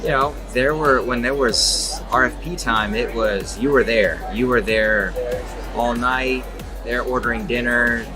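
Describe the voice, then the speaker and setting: A man in his forties from California, raised speaking Czech at home, giving relaxed interview answers full of fillers and restarts at a trade show. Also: medium pitch, unhurried, music in the background. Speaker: interviewee, exhibit designer. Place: exhibition hall at a trade show.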